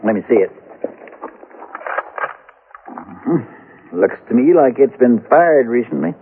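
Plain-spoken Western sheriff, thin radio sound. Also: male voice, mid-range voice, expressive, phone-quality sound, slight background noise. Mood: firm questioning. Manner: folksy, plain-spoken, measured. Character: steady, dogged small-town Western sheriff recounting an old case. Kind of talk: 1970s radio western acting and first-person narration. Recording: thin band-limited radio recording, music behind.

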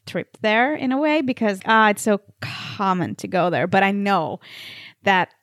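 A woman from Norway with a Norwegian accent, chatting casually and softly, often trailing off between phrases. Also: mid-range voice, expressive, measured pace, dry recording, clean studio sound. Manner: soft-spoken, hesitant, trailing off between phrases. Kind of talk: casual chat.

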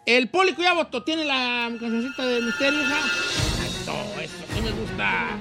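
A man's voice: very high-pitched, animated, moderate pace, creaky, loud music behind the voice. A man hosting a call-in radio show, taking callers with joking, slangy banter. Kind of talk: playful rapid banter taking calls.

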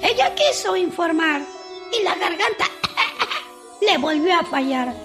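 A woman's voice: very high-pitched, sing-song, slow, fairly bright tone. A woman reciting comic rhyming verse in a playful, singsong, theatrical way. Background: music.

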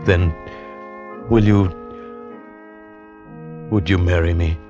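A man's voice: deep voice, fairly even intonation, slow pace, warm tone. An actor playing an earnest, yearning young man in love, who also narrates the story in an old radio drama. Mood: tender pleading. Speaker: narrator and lead. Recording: lo-fi old radio recording with music.

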